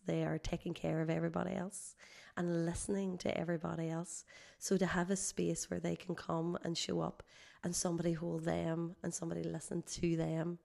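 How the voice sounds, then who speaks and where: A woman guest, a holistic healer, explaining her work conversationally in a measured, reflective way, slightly hoarse from a cold. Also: fairly low pitch, dark tone.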